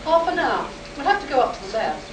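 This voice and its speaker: An actress playing a skeptical, clinical army psychiatrist in a live sci-fi radio play, questioning with authority. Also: female voice, sits high, big pitch swings, fast. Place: live performance in a room at a convention. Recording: roomy, distant, noisy live convention stage recording.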